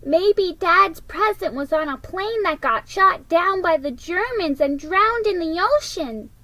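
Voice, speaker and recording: An innocent, eager little storybook boy, played by a woman. His voice is lifted high and he speaks without hurry. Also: animated, unhurried, sharp tone, dry recording, full character voice. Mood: speculating.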